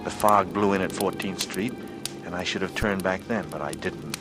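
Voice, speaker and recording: A man's voice: low voice, moderate pace, loud music behind the voice, close to an everyday voice. An actor playing a brooding, bitter man with murder hidden behind a casual front, in a 1940s radio drama. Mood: brooding, haunted. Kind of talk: 1940s radio drama acting, first-person narration and dialogue. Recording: noisy old radio broadcast recording.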